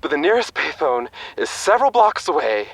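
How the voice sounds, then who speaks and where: A man's voice: on the high side, sing-song, a little fast, vocal fry, a bit bright, dry recording, heavily acted. A man acting a chatty young sidekick reporting back in a radio drama, wordy and defensive. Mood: excited, defensive.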